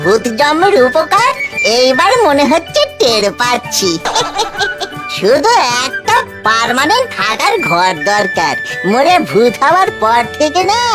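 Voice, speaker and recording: A performer voicing a mischievous, gluttonous cartoon ghost with a cackling, singsong manner. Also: sits high. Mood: grumbling. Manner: gleeful, cackling, singsong exclamations. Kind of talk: exaggerated cartoon voice acting. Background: music.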